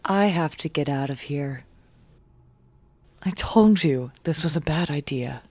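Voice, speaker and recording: An actress playing a guarded, weary young vigilante superhero in an audio drama, speaking in a subdued, deliberate way. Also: female voice, low voice, lively intonation, darkish tone, a little hiss or hum. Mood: hurt, withdrawn.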